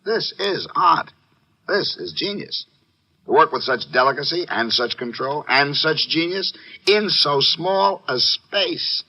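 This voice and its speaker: Effusive, swooping rich collector. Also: male voice, on the high side, lively intonation, unhurried. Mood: rapturous. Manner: effusive, grandiose, repeats words for emphasis. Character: rapturous, self-satisfied rich art-collecting songwriter. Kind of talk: 1950s radio drama acting. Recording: dull old radio recording.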